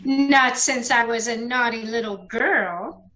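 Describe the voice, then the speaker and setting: A woman's voice: on the high side, takes it slowly, fairly bright tone, faint music behind. A woman playing a coy, scheming, sweet-talking bride in old-time radio melodrama, with noise on the recording. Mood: coy, calculating. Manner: coy, flirtatious. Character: sweet-talking, scheming, trigger-happy femme fatale bride.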